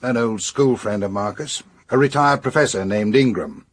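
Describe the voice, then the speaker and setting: An actor playing a brisk, businesslike British police superintendent giving matter-of-fact briefings in a radio drama. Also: male voice, mid-range voice, husky, close to an everyday voice.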